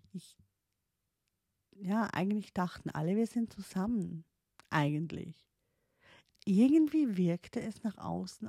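A woman's voice: mid-range voice, creaky, warm tone, no room sound, studio quality. A woman in her late thirties with a Swiss accent, German not her first language, musing hesitantly about her past with many fillers and restarts. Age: 30s. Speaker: streamer. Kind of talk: reflective personal storytelling.

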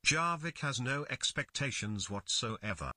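A man's voice: medium pitch, lively intonation, a little slow, pushed and bright, no room sound, clean studio sound, heavily acted. An actor playing a thoughtful, inquisitive man in audio fiction, musing to himself with emphasis. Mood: realizing. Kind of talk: audio fiction character dialogue.

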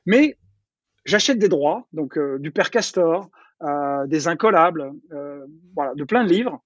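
A man's voice: fairly high pitch, lively intonation, unhurried, no echo, dull audio. A middle-aged man talking casually in an interview, chatty and full of restarts and repeated words. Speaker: entrepreneur interviewee.

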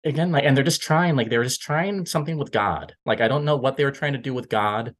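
A man's voice: mid-range voice, fast. A man discussing films casually, circling back over his phrases as he thinks aloud. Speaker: podcast panelist.

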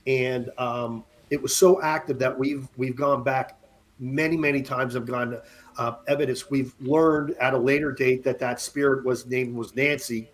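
A man's voice: mid-range voice, steady tone, moderate pace, rough recording. A man in his late fifties, a podcast guest, chatting casually and rambling with many restarts and jokes. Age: 50s. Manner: rambling, restarts often, jokey. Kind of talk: casual chat.

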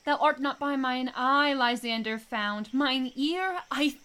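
A woman playing a devoted young gentlewoman in a Shakespearean radio play, reciting verse in a deliberate, declamatory way. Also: high voice, clear, resonant tone, exaggerated voice. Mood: searching, relieved. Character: devoted young gentlewoman searching for her love.

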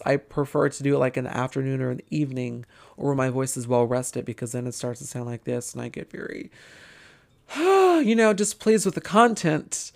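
A woman hosting a casual podcast, her voice raspy and tired, talking in a rambling, halting way with frequent pauses. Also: low-pitched, darkish tone. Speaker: podcast host.

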